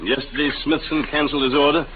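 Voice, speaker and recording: An actor playing an exasperated, excitable middle-aged American husband and salesman in a 1950s radio drama. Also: on the high side, fast talker, lightly acted. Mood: frustrated. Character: flustered, grumbling robot salesman and husband. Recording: noisy, narrow-band old radio broadcast.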